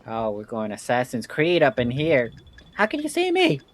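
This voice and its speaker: Casting: joking streamer reacting to a story game, mid pitch, loud outbursts. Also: wildly swooping pitch, normal speed, slight background noise. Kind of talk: casual gameplay commentary.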